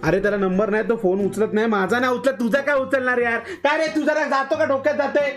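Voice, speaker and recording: This is a young man with a distinctly high-pitched voice. He is agitated and insistent, chatting in slang as on a prank call, while light music plays behind. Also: fairly even intonation, fast, fairly bright tone. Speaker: prank caller on a live stream. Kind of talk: casual slangy phone chat.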